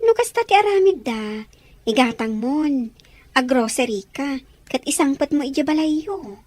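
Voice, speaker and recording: Woman acting animated, high-voiced sympathetic confidante. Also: measured pace, no room sound. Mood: surprised, advising. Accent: Ilocano. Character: sympathetic, animated female confidante giving practical advice. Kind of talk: Ilocano radio-style drama acting.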